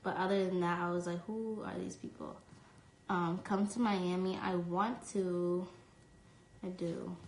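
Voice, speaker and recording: A woman's voice: lowish voice, fairly even intonation, unhurried, roomy sound, slight background noise, poor audio. A young woman from New York chatting casually on a live stream from her phone, reading fan questions aloud and answering with lots of "um" and "like.". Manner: chatty, fillers like um and like. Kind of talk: casual chat.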